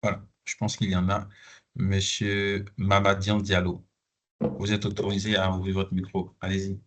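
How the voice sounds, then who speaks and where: A man moderating an online conference over a video call, polite and measured. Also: deep voice, monotone, fairly bright tone.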